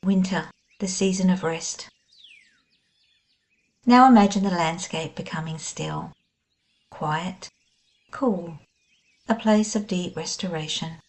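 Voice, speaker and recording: A woman guiding a scripted meditation in a gentle, soothing, unhurried manner. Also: on the low side. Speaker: meditation guide. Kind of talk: scripted guided meditation narration.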